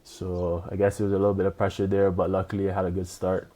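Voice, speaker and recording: Low, flat-voiced young man from Barbados. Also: fast talker. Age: 20s. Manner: relaxed, many fillers and "you know". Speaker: guest, professional tennis player. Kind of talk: casual interview chat.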